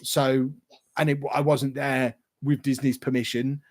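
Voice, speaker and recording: A man's voice: mid-range voice, level tone, a little slow. A man, casually chatting and answering viewer questions on a livestream. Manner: chatty, rambling, reads viewer questions aloud. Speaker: YouTuber hosting a livestream Q&A.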